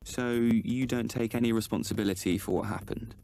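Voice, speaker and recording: An actor playing a probing documentary interviewer who questions people pointedly. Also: male voice, fairly low pitch, steady tone, a little fast, creaky, warm tone, soft background music.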